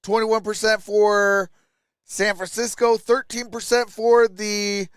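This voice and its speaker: A man's voice: high voice, little pitch movement, takes it slowly, clean tone, dry recording, studio quality. A man doing casual live play-by-play as a sports streamer, with chatty, informal asides.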